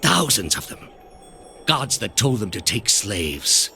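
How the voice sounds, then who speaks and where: An actor playing a cold, pompous male grandmaster of an ancient zealous order in a video game, speaking deliberately and with condescension. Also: on the low side, big pitch swings, gravelly, bright, forceful tone, slight background noise, full character voice. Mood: grave, unrepentant. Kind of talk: dramatic video game dialogue.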